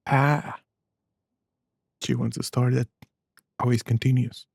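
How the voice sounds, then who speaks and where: A man giving casual, low-key, musing commentary as a gameplay streamer. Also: little pitch movement, unhurried, gravelly, darkish tone, slightly rough, no room sound, studio quality. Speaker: streamer playing and reacting to a game.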